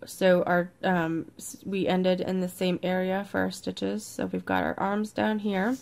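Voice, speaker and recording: A woman with a croaky, congested voice leads a step-by-step craft tutorial in a relaxed, chatty way, sometimes dropping to a near-whisper as she counts stitches. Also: lowish voice, measured pace. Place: indoors at a worktable, overhead camera on hands.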